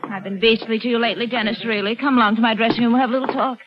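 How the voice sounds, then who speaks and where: An actress playing a grand, scheming film star in an old radio drama, gushing and refined. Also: female voice, on the high side, fairly even intonation, rapid-fire, close to an everyday voice. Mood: theatrical. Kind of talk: 1940s radio acting. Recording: dull old radio broadcast.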